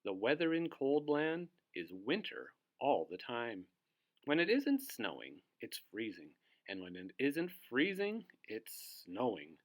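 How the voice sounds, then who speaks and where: A man's voice: medium pitch, very animated, a little slow. A man hosting a read-aloud for young children, narrating theatrically and putting questions to his young listeners.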